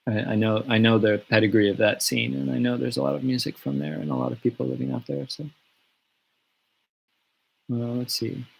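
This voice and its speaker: A man chatting casually from his home on a livestream, answering fan questions in a relaxed, rambling way with many fillers and restarts. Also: medium pitch, fairly quick, gravelly, pauses often, husky, rough recording. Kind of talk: casual live Q&A. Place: home studio in the countryside. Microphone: webcam or phone on a livestream.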